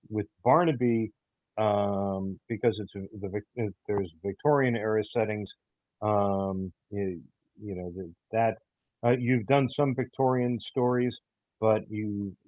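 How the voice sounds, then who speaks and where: A man in a casual panel chat, speaking as an audio theater producer in a halting, measured way. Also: on the low side, no room sound. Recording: muffled, phone-like thin audio.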